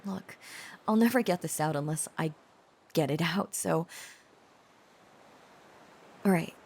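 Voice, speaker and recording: Woman acting a slow, whispery, tender girlfriend. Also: sits low, heavily acted. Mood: earnest, devoted. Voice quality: breathy, often dropping to a whisper. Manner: hesitant, gentle, halting. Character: tender, protective young dragon-descended woman confessing to her boyfriend. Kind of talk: intimate roleplay audio drama, softly acted.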